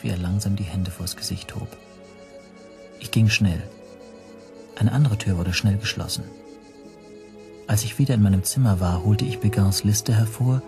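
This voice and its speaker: A sober storyteller recounts events in a fairly low voice. He is measured and restrained, with clear music under his radio drama narration. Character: sober male narrator recalling his own troubled investigation. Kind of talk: first-person drama narration. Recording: music behind the voice.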